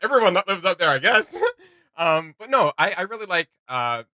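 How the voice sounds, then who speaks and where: A man with a fairly high, very bright voice hosts a casual reaction video. He rambles informally through his thoughts, and the sound is dry and close. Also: sing-song, moderate pace, dry recording. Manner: rambling, giggly, informal, many "like" and "you know".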